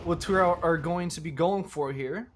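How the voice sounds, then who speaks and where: A man calling an esports match play by play, brisk and focused on the action as it happens. His voice sits very high for a man. A faint background hiss runs under the recording.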